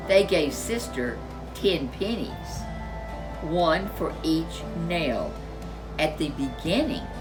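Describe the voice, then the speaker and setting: A woman storyteller reads a children's book with deliberate emphasis. Her voice sits a little low but moves up and down a lot, and she takes her time. Music plays clearly behind her. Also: low-quality recording. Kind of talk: expressive children's storybook narration.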